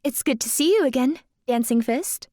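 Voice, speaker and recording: A woman's voice: high-pitched, expressive, normal speed, mellow. A woman playing a polite, earnest young dancer in an audio drama. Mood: friendly, modest.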